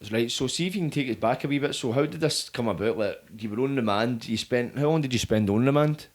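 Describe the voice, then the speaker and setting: A man's voice: medium pitch, quick pace. A man with a Scottish accent, hosting a casual interview and putting short questions to his guest. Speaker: podcast host.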